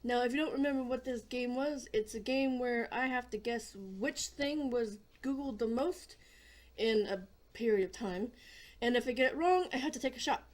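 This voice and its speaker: A hoarse woman hosting a casual gaming video, chatting in a rambling way and often clearing her throat. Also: a little high, normal speed, a little mellow. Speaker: gaming channel host.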